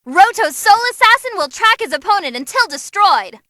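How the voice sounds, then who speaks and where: A woman voicing an excitable young female demon who commentates on tournament fights in a bubbly, theatrical way for a game. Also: very high-pitched, moderate pace, no room sound, studio quality, exaggerated voice. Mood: excited, hyped.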